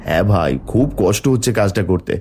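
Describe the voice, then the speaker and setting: A man's voice: low voice, fast talker, a little mellow, a little hiss or hum, close to an everyday voice. A man playing a kind, hardworking poor farmhand in a cartoon story, earnest and given to exclamations. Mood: weary, determined. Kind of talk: cartoon story dialogue acting. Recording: music under the voice.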